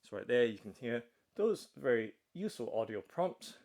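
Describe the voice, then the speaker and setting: A man with a mid-pitched voice narrates a hands-on product demonstration. He goes step by step in a measured, matter-of-fact way, like a tech unboxing presenter. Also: sing-song, darkish tone.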